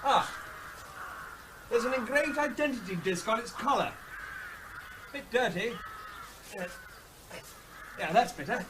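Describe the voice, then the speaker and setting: An actor in a radio drama playing an earnest, dogged official with an urgent, insistent manner. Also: male voice, very high voice, animated, unhurried, long pauses, background noise. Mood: busy, curious. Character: earnest, dogged male animal-disease official. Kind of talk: British radio drama acting.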